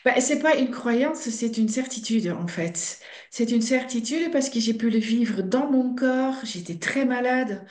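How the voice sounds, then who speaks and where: A woman's voice: fairly high pitch, animated, unhurried, a little mellow. Middle-aged woman with a Belgian-Dutch accent. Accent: Belgian-Dutch accent in French. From Belgium and the Netherlands. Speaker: fasting center director, guest. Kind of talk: podcast interview. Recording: roomy echo.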